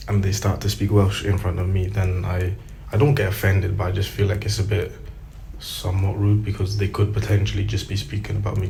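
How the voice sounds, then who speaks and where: A man with a very low, gravelly voice answers interview questions in a measured, reflective way. His delivery stays level with little rise or fall, and his tone is dark and mellow. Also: slight background noise, low-quality recording. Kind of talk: recorded interview testimony.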